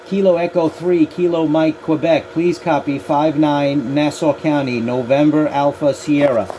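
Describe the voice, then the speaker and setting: A man's voice: fairly high pitch, fairly even intonation, unhurried, low-quality recording. A man working as an amateur radio contest operator, making clipped, procedural calls in phonetic alphabet. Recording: loud radio static and noise.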